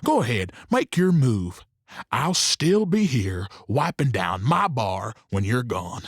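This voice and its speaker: An actor playing a world-weary, goading saloon owner in a western audio drama, with a folksy, speechifying manner. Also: male voice, medium pitch, very animated, slow, no room sound, clean studio sound, heavily acted. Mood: bitter, defiant.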